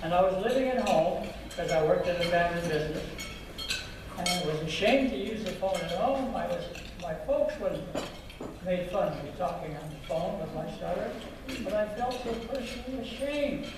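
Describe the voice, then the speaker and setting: An older man earnestly recounting his life story in a slow, reflective manner, recorded from a distance. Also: high-pitched, lively intonation, background noise. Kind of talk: personal storytelling talk. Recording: echoey and distant with loud background clatter.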